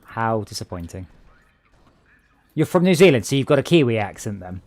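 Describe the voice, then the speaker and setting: A man with a southern English accent chatting excitedly and jokily with friends while playing a game online. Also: lowish voice, moderate pace, no room sound. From England. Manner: chatty, excitable, jokey, tangents. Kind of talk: casual gaming chat.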